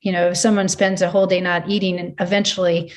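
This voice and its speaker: A woman's voice: fairly low pitch, flat delivery, fast talker. A woman in her fifties, a sports nutritionist, giving earnest advice in a casual podcast chat, often restarting her sentences. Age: 50s. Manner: earnest, advising, many restarts.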